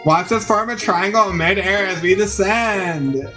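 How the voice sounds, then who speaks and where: Man as young female student, raised pitch, whiny, indignant, drawn-out words, dating game character. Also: faint music behind. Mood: angry, sarcastic.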